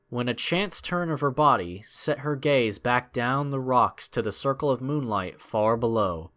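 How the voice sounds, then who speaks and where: A man narrating a scripted adventure story in a measured, expressive, dramatic storytelling style. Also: mid-range voice.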